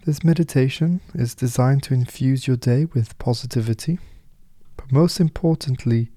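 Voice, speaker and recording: A man leading a guided meditation with affirmations, soft-spoken and soothing, leaving unhurried pauses. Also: medium pitch, dry recording, studio quality.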